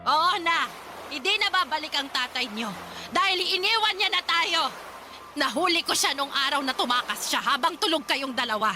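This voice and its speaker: A woman's voice: sits high, animated, normal speed. An actress playing a stern, weary old grandmother in a radio drama, speaking bluntly. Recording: music playing behind.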